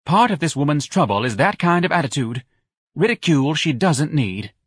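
A man's voice: fairly high pitch, sing-song, normal speed, husky, dry recording, voice pushed up. A bossy middle-aged woman gushes over everyone, as a male narrator puts her on. Her voice rises above the narrator's own and swoops with emphasis. The sound is dry. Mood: gushing. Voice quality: gushing, bossy.

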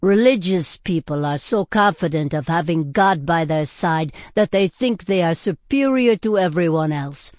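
A man's voice: on the high side, expressive, moderate pace, few pauses, no echo, studio quality, lightly acted. A man voicing a cheeky, tipsy drunkard who is indignant and argumentative, in an audiobook style. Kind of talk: audiobook character acting.

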